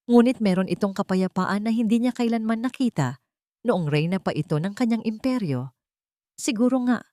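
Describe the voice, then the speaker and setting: Expressive woman narrating a story. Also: medium pitch, no echo, clean studio sound. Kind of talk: scripted romance story narration.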